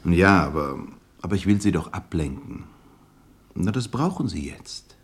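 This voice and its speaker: Radio drama role: ingratiating bachelor businessman, low husky voice that swings widely, hesitant and gushing. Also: lively intonation, unhurried, exaggerated voice. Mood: oily sympathy. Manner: gushing, hesitant, chuckling between phrases. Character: effusive, ingratiating bachelor businessman, clumsy flatterer. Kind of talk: naturalistic German radio drama acting.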